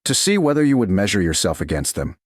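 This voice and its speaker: A man voicing a stern, reserved duke in a romance story, calm, formal and terse. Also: lowish voice, fast, no echo, clean studio sound. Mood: probing.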